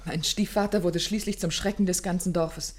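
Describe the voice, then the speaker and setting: A woman's voice: lowish voice, fairly even intonation, moderate pace, lightly acted. An actress playing a distressed, well-bred young woman in a radio play, pleading in formal, articulate speech. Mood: troubled recounting. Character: distressed, well-bred young English heiress seeking help. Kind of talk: German radio play acting.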